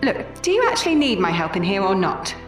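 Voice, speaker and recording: An actress playing a young, sardonic Victorian nurse with a wry, chatty manner in an audio drama. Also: female voice, medium pitch, big pitch swings, soft background music, lightly acted. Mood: exasperated. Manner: wry, conversational, quick to grumble.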